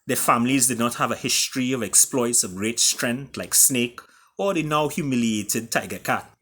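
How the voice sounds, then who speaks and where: A man with a Caribbean accent reading his own story aloud in a theatrical way, with deliberate pauses. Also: mid-range voice, pushed and bright, slightly rough. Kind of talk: dramatic storytelling.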